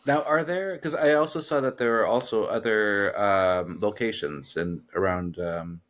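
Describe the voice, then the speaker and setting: A man co-hosting a podcast, asking curious, rambling questions with pauses. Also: lowish voice, a bit bright. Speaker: podcast co-host. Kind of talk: interview questions.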